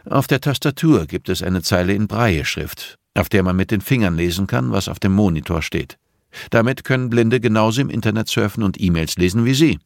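A man's voice: on the low side, lively intonation, a little fast, gravelly, no room sound, clean studio sound, voice pushed up. Man playing composed, ironic blind teacher. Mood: composed, pointed. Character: blind middle-aged male teacher, composed, patient, quietly ironic. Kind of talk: audiobook character dialogue.

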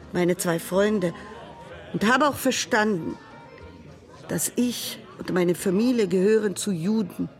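An actress playing an elderly grandmother who tells a grandchild about her childhood, speaking haltingly with broken grammar. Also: medium pitch. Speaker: narrator figure telling a childhood memory. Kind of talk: radio drama monologue.

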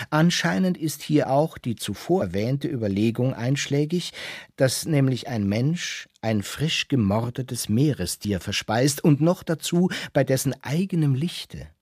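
An actor playing a wry, learned seafaring storyteller, lecturing the listener in a literary radio drama. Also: male voice, mid-range voice, darkish tone, slightly rough, dry recording, studio quality. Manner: mock-scholarly, addresses listeners, rhetorical.

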